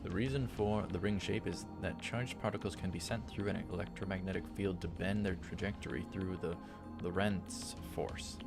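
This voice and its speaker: Low voiced guy reading visual novel narration with little asides, faint music behind. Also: steady tone, normal speed, gravelly. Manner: stumbles over lines and corrects himself. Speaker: let's play host reading a visual novel aloud. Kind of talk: scripted narration with brief asides.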